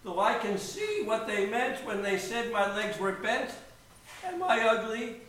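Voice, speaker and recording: In a very echoey room, a man plays an odd little storybook bird, young and earnest. The voice is high and bright, declaiming simply like a young male creature. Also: big pitch swings, a little slow, heavily acted. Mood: wistful. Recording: echoey room, distant mic, faint noise.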